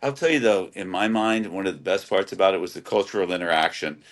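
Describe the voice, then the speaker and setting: Low-voiced man from Hawaii telling stories. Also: fast talker. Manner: anecdotal, storytelling, repeats words. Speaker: podcast guest, channel swimmer. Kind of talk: casual interview chat.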